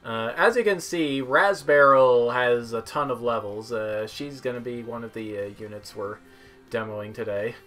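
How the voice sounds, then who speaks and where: A young man giving casual, offhand gameplay commentary as he demos game characters, with a hesitant, halting delivery. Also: mid-range voice, fairly bright tone. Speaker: gamer demoing video game characters. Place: indoor room at home, seated. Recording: game music behind the voice. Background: video game music.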